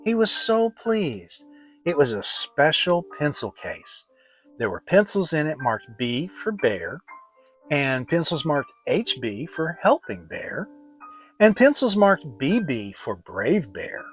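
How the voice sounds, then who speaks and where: Guy narrating a kids audiobook, kinda slow, really expressive up and down pitch. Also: faint music behind, low-quality recording. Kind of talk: dramatic children's story narration.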